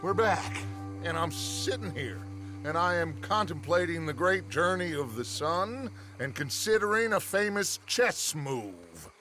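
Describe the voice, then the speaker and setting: An actor playing a brooding outlaw philosopher in a video game, speaking in a measured, grandiose, ponderous manner. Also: male voice, on the high side, sing-song, pushed and bright, soft background music, heavily acted. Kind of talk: dramatic video game acting.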